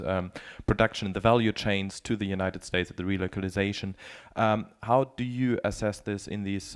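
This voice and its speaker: A man's voice: lowish voice, clear, resonant tone. A man working as a journalist, asking a panel a long question from the audience with many hesitations. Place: conference room.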